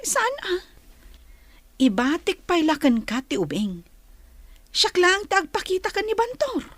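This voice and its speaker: A woman's voice: very high-pitched, big pitch swings, a little slow, a bit bright, no room sound, exaggerated voice. A woman playing a stubborn, wayward young wife who pleads insistently, in a Filipino radio drama. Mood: scheming.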